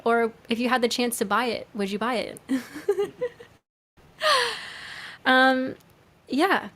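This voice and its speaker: A woman's voice: fairly high pitch, a bit bright. A woman musician chatting casually on a livestream, answering in an informal, conversational way.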